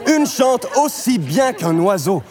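An actor playing a stern parish priest who speaks in a solemn, preaching manner. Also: male voice, sits high, sing-song, slow pace, a little mellow, some chatter behind, heavily acted. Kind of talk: film acting.

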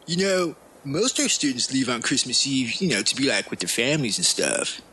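A man's voice: mid-range voice, very animated, moderate pace, sharp tone, a little hiss or hum, low-quality recording. A man playing an easygoing karate coach, part formal sensei and part slangy dude, in an audio comedy drama. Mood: easygoing, sympathetic. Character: mellow-mannered male karate sensei, formal then slangy.

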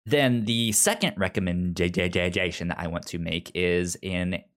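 A man talks casually as a podcast co-host, his voice fairly low and his delivery slow. He stutters heavily, repeating the first sounds of words many times before getting them out. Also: fairly bright tone.

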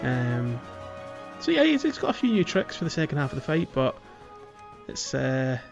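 Male podcast host. Casual, thinks aloud, average pitch, clear music bed underneath. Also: wildly swooping pitch, moderate pace, muffled recording. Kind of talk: casual gaming podcast chat. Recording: music playing behind the voice.

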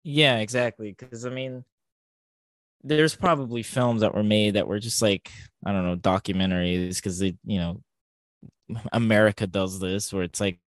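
A man with a fairly low voice co-hosts a casual film podcast. He thinks aloud, hesitating and rephrasing as he goes. The sound is very dry, with no room echo. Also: fairly even intonation, normal speed. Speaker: podcast co-host.

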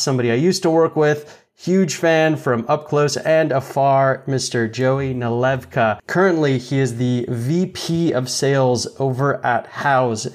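A man hosting an interview podcast in a conversational, upbeat style. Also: medium pitch. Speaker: podcast host.

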